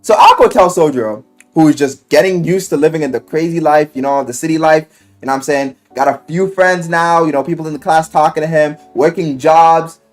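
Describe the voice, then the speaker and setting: A man telling a story casually in slang and swearing, as an animated video game reviewer who acts out what people say. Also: on the high side, fairly quick, soft background music. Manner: animated storyteller, acting out quoted lines.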